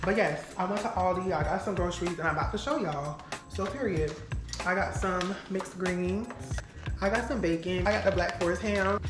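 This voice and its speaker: A woman in her home kitchen shows off her purchases in an excited, playful vlog. She talks in a lively way, full of slang and catchwords she keeps repeating. The room echoes, music plays clearly behind her, and the camera sits a few steps away. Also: lowish voice, moderate pace. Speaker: vlogger. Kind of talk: excited casual vlog, grocery haul. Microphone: camera on the counter, a few steps away.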